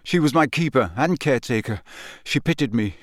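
A man's voice: mid-range voice, expressive, fairly quick, dry recording, studio quality, lightly acted. A man playing a dazed, coldly bitter young male prisoner who confesses in clipped, expressionless sentences. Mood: detached confession.

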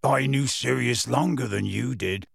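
A man voicing a gruff, kindly giant groundskeeper in a storybook reading, with halting, folksy, colloquial speech. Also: mid-range voice, dry recording, studio quality, full character voice. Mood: soft, sombre.

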